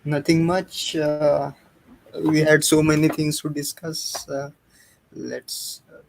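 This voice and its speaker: Slow, halting man with flat pitch. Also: creaky, a little hiss or hum, low-quality recording. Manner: hesitant, halting. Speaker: livestream co-host. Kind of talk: casual chat.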